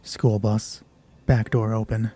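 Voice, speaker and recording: A man with a soft, dark and husky voice hosts a solo tabletop game. He thinks aloud conversationally between narration, close on a dry mic with faint music behind him. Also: fairly low pitch, level tone, slow pace. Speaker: solo game master, channel host. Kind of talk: solo tabletop roleplay narration with casual asides.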